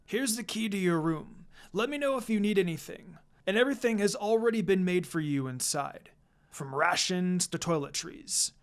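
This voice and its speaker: Someone reading as kindly old grandpa village elder, calm n gentle, kinda solemn, storybook style. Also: medium pitch, normal speed, close to an everyday voice.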